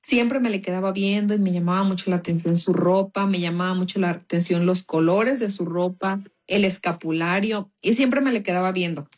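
A woman's voice: lowish voice, normal speed, hardly any pauses. Mexican woman telling a faith testimony. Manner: casual storytelling, many fillers. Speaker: guest giving a testimony.

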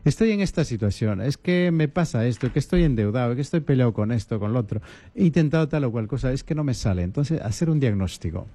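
A man, a priest, giving calm, repetitive advice on a radio talk program. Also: mid-range voice, quick pace. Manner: calm, repetitive listing, coaching tone.

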